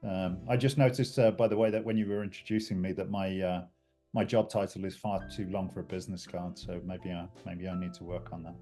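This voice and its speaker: A middle-aged British man talks through a video call with a very low, mellow voice. He speaks slowly and carefully, pausing and restarting often. Faint music plays underneath. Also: steady tone. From United Kingdom. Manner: reflective, many restarts and fillers. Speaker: guest. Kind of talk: conversational interview.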